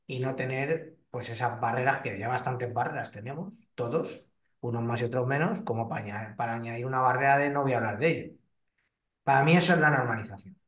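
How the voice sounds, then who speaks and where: A man's voice: mid-range voice, fairly quick, fairly bright tone. Man with restarts, roomy and distant. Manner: hesitant, many restarts and fillers. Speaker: host and fitness trainer. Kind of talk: casual interview.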